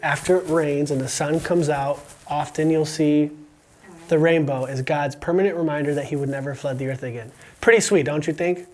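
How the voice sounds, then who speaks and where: A man's voice: a little high, fairly even intonation, moderate pace. A man with an American accent, born in Romania, hosting a podcast and quizzing a student in an encouraging, joking manner. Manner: encouraging, asks quiz questions, jokey. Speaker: podcast host. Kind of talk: casual interview with a student.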